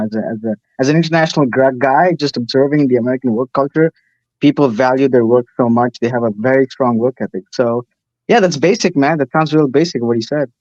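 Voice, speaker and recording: A hesitant young man on a dull, dry call line, his mellow voice warm and low-key. He stumbles and restarts, repeating words before landing a thought. He grew up between the Gulf and India. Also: no echo. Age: 20s. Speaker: caller. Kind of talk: casual chat.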